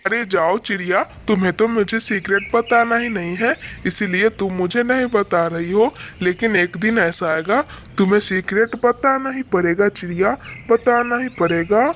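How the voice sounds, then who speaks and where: A scheming male crow from a cartoon, acted by a woman. The voice sits at a middle pitch and is threatening and emphatic, repeating itself for force. There is some ambient noise behind it. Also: normal speed, few pauses, lightly acted. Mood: angry. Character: scheming, threatening male crow who runs a food stall. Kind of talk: Hindi cartoon dialogue.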